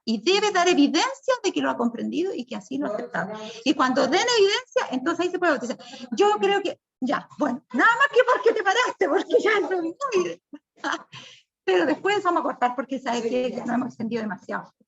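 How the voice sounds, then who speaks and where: A woman's voice: high voice, big pitch swings, a little fast, gravelly, highs cut off. A woman leading a church Bible study, speaking in a firm, preachy yet chatty way.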